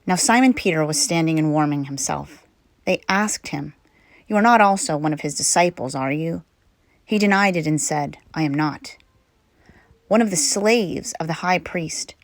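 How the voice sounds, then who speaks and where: A woman's voice: deep voice, no echo. A woman reading a Bible story aloud as a lay reader. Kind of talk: scripture reading.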